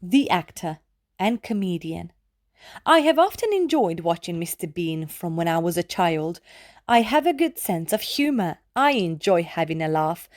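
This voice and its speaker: A woman reads poetry aloud for children at a measured, deliberate pace of phrasing. Her pitch sits in an ordinary female register but moves up and down in an animated way. The words are clearly enunciated, and the sound is close and dry. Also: no room sound. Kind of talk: scripted read-aloud poetry narration.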